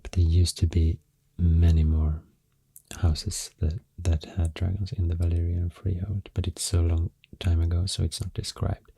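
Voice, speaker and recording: A man with a Swedish accent speaking in a deep, soft, half-whispered ASMR ramble, halting and correcting himself, close to the microphone. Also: monotone, gravelly, husky, no room sound. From Sweden. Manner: hesitant, slow, halting, self-correcting. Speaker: ASMR artist.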